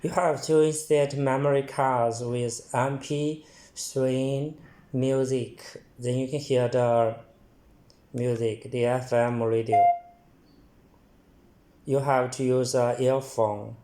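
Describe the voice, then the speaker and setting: A man giving a hands-on product demonstration in halting, short phrases as he reads items out. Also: medium pitch, flat delivery.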